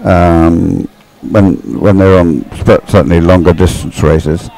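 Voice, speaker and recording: A man with a very deep, mellow voice and a gravelly creak. He commentates on a race casually, explaining technique in a reflective way. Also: fairly even intonation, a little slow, low-quality recording. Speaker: sports commentator. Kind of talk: casual live dragon boat race commentary.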